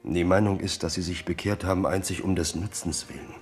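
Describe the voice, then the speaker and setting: A man's voice: sits low, fairly even intonation, fairly quick, soft background music, natural voice. An actor playing a frank, sly soldier courtier who needles his king loyally in a German radio drama. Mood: blunt, provoking. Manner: frank, sly, feigns indifference.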